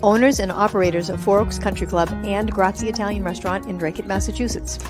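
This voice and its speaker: A woman's voice: medium pitch, fairly even intonation, fast, over music. A woman business owner reading her part of a scripted two-person radio commercial.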